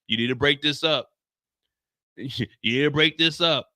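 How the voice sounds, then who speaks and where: A man's voice: fairly high pitch, a little slow, bright, forceful tone, dry recording, studio quality. A man doing a tattling nervous inmate.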